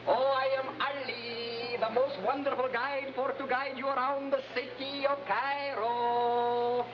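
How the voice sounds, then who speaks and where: An actor playing an eager, boastful Cairo street guide who speaks flowery broken English in a 1950s television adventure. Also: male voice, very high-pitched, level tone, a little slow. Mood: eager, ingratiating. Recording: noisy, muffled old TV soundtrack.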